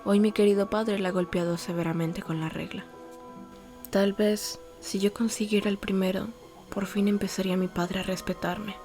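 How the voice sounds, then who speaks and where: A woman voicing a resentful teenage violinist boy reading from his diary in a formal, measured, bitter manner. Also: medium pitch, flat delivery, a little mellow, pitched below the performer's own voice, natural voice. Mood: brooding, hurt. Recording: music under the voice.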